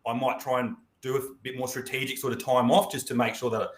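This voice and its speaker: A man's voice: mid-range voice, level tone, fast talker. An Australian man chatting casually and informally as a co-host on a live online stream. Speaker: co-host, member of a property team. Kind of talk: casual chat. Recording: roomy echo.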